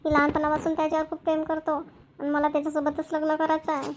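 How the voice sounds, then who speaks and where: A woman plays an earnest young cartoon daughter in love. Her voice is high and quick, with a determined temper. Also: monotone, a little hiss or hum, natural voice. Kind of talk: amateur cartoon voice acting.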